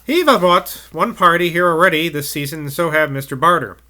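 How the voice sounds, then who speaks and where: A man's voice: on the high side, brisk, pushed and bright. A man voicing a grumpy old rural man who growls in a thick country dialect. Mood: storytelling. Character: grumpy old rustic man, ogre-like mutterer.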